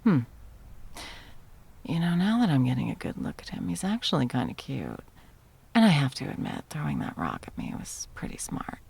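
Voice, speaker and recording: A woman's voice: deep voice, wildly swooping pitch, normal speed, darkish tone, full character voice. A woman acting an arrogant, centuries-old immortal sorceress tyrant in an ASMR roleplay, teasing and theatrical, at times slipping into whispers. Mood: musing, amused. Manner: mocking, purring, theatrical, slipping into breathy whispers.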